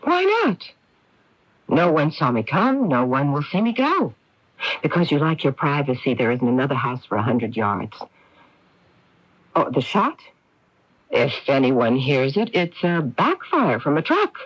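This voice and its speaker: A dull, lo-fi old radio recording of a self-possessed middle-aged woman in a mystery drama. She is crisp and controlled, and her pitch swings widely as she probes. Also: big pitch swings, measured pace, pauses often, exaggerated voice. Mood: coldly menacing. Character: Clara, cool, brisk, self-possessed middle-aged sister.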